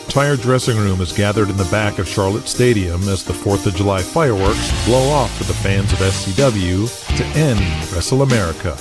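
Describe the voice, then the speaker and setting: A man's voice: fairly low pitch, moderate pace, hardly any pauses. A man reading scripted present-tense narration for an audio drama. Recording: loud music behind the voice.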